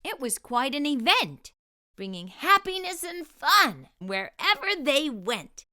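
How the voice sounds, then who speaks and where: A woman's voice: sits high, sing-song, slow, sharp tone. A woman narrating a children's storybook expressively, enunciating for young listeners as a storytime host.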